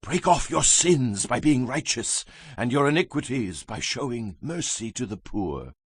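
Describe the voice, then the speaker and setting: A man's voice: medium pitch, big pitch swings, unhurried, slightly rough, no room sound, studio quality, full character voice. A man playing an earnest, grave Hebrew prophet, solemn and oratorical, in a dramatized scripture reading. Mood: solemn warning.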